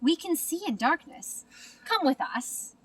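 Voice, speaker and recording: A woman's voice: very high-pitched, animated, measured pace, recorded from a distance, voice pushed up. A woman voicing a tender, reassuring mother bat in a storybook, emphatic and maternal.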